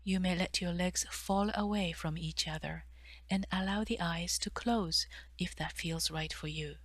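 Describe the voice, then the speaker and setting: A woman guiding a meditation in a soft, breathy delivery with measured pauses between phrases. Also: on the low side, no room sound. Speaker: meditation guide, therapist. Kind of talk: guided meditation narration.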